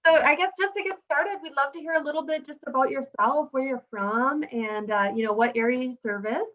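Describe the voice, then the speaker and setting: A woman's voice: sits high, a little fast. A woman hosting an online interview in an effusive, enthusiastic manner over a video call. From Wisconsin. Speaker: interview host. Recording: dull, lo-fi video call audio.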